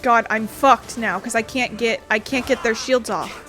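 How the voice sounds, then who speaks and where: A woman's voice: a little high, normal speed, a bit bright, faint music behind. A woman giving casual let's-play commentary, thinking aloud in an informal way as she plays. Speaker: gaming video creator.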